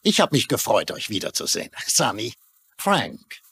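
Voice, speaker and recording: An actor playing a cheeky, boastful old uncle in a dubbed TV crime drama, brash and jaunty, with a husky voice. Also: male voice, fairly low pitch, wildly swooping pitch, normal speed, fairly bright tone, a little hiss or hum, full character voice.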